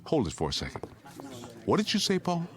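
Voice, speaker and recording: A man's voice: fairly high pitch, huge pitch swings, brisk, faint music behind. An American man acting in a radio drama as a level-headed, middle-aged father who takes command. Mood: wry.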